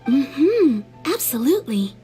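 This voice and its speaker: A woman's voice: high-pitched, very animated, slow, music in the background, exaggerated voice. A woman playing a polite, obliging kimono shopkeeper in a video game, with a deferential manner. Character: courteous female kimono shopkeeper, soft and obliging.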